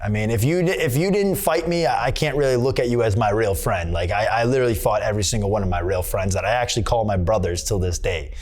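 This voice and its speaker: A man's voice: fairly low pitch, fast talker, few pauses, a little mellow. A man, a boxer from Massachusetts, telling his story in a candid interview and circling back with lots of fillers and restarts. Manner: rambling, many fillers and restarts. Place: boxing gym.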